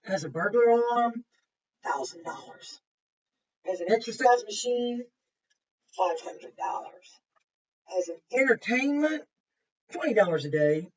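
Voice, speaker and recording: A woman's voice: fairly high pitch, expressive, a little slow, long pauses, husky. A woman kindergarten teacher reading a picture book aloud to young children, with simple explanations and chatty asides. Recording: muffled, distant microphone.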